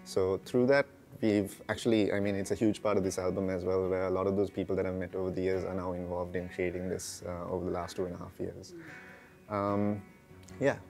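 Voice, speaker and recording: Very low-voiced Sri man. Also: flat delivery, brisk, gravelly, darkish tone. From Sri Lanka. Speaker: interview guest, indie singer-songwriter. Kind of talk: casual interview talk. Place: large brick-walled room with big windows, indoors. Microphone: lapel mic. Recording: music behind the voice. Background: guitar music.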